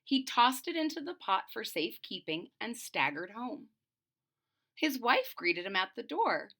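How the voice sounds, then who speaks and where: A woman reading a picture book aloud for children, pausing to explain it simply in a deliberate, teacherly way. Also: a little high, very animated, pushed and bright.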